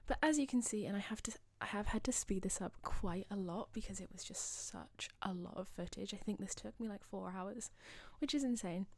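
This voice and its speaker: A young woman talks over her game footage in a loose, rambling way, cutting in with little self-deprecating asides. Her voice is slightly husky, as if she is losing it, and it sits at a middling pitch. The recording is close and dry, with no room sound. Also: a little fast, few pauses, darkish tone, no room sound. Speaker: gaming channel host. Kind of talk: casual voice-over commentary.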